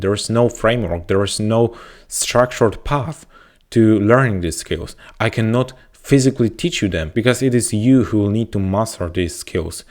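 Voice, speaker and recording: A man's voice: fairly low pitch, a little mellow. Polish-accented man giving earnest advice. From Poland. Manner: earnest, repetitive motivational delivery. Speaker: YouTube growth coach. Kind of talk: direct-to-camera advice talk. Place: indoors, talking to camera.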